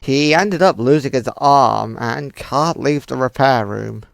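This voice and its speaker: A woman's voice: extremely low pitch, unhurried, vocal fry, a little hiss or hum, heavily acted. A woman voicing a caring, protective robot guardian, reassuring yet firm, in a fan comic dub. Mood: concerned, firm.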